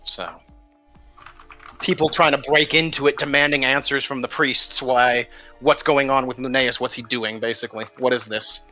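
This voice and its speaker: A man's voice: medium pitch, steady tone, normal speed, bright, forceful tone, highs cut off, soft background music. A man running a tabletop game as game master, casually narrating and recapping the story with some swearing.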